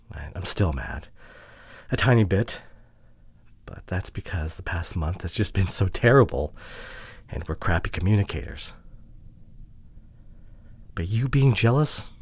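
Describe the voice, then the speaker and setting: A young man playing a hurt, introverted boyfriend in an intimate ASMR audio drama, speaking haltingly in a hushed, breathy, vulnerable way. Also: low voice, exaggerated voice. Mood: hesitant, candid. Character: hurt, frustrated introverted boyfriend pleading with partner.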